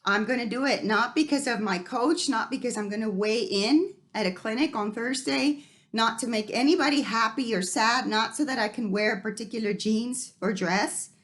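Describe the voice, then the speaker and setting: A woman's voice: a little high, normal speed, fairly bright tone. A woman from Guatemala, a fitness company founder, telling her personal story in an earnest, reflective way with frequent ums and restarts. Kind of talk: personal story told to camera.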